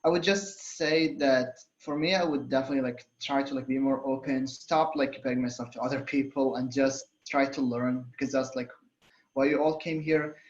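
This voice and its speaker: A man's voice: mid-range voice, fairly even intonation, normal speed, reverberant, dull audio. A young man from Saudi Arabia, a student on a college panel, talking casually with many fillers and restarts. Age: young adult. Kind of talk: casual panel talk on a video call.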